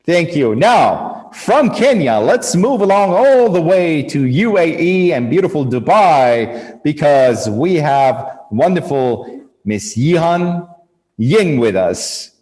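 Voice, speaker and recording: A man's voice: fairly high pitch, very animated, slow, darkish tone. A man hosting a live cooking competition, introducing contestants with upbeat, emphatic announcements. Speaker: host. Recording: long hall reverb.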